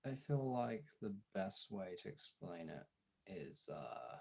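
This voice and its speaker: A man chatting casually as a streamer, thinking aloud haltingly as he searches for words and trails off. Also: deep voice, big pitch swings, vocal fry, a little mellow.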